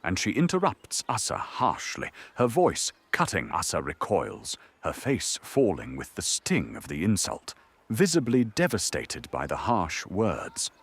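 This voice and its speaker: A man with a gravelly, husky voice giving dramatic scripted narration for an audio drama. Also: on the low side, huge pitch swings, a little slow.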